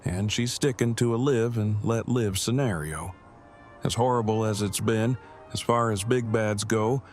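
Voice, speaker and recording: A man playing a formal, wordy, deadpan young father and husband in an audio drama, with precise phrasing. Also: lowish voice, natural voice. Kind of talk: fan audio drama acting.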